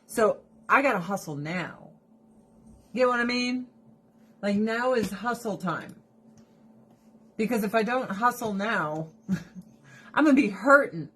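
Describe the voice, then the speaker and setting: A woman's voice: medium pitch, slow pace, pauses often, breathy edge. Blunt, laughing woman live streaming. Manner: blunt, profane, animated, laughing often. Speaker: direct sales rep live streaming. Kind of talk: casual live stream chat to viewers. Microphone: phone or camera held close.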